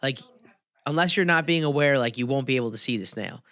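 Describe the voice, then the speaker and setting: A man's voice: medium pitch, fast talker, a bit bright, dry recording, studio quality. A man co-hosting a podcast in casual banter, with slangy, bro-heavy speech. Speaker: podcast co-host. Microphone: close podcast microphone.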